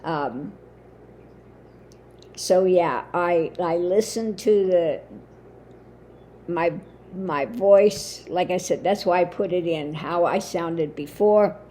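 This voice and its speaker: A woman talking casually to her viewers in a halting way, her voice lowered and weakened after throat surgery. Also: warm tone, slight background noise. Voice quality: lowered, limited voice. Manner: slow, halting, with many fillers. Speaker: spiritual channel host. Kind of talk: casual monologue to camera.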